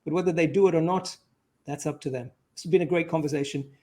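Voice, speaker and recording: High-pitched male interview host, effusive. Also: fast, dark tone. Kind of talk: conversational interview hosting.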